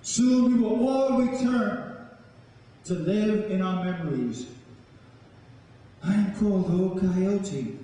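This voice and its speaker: A man from the South Bronx, speaking as a spoken word poet on stage in a declamatory, deliberate manner. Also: high-pitched, warm tone. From South Bronx, New York. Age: middle-aged or older. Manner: declamatory, solemn, deliberate phrasing. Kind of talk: dramatic live poetry recitation with spoken introduction. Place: large indoor hall, live performance before an audience. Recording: echoey hall, distant microphone.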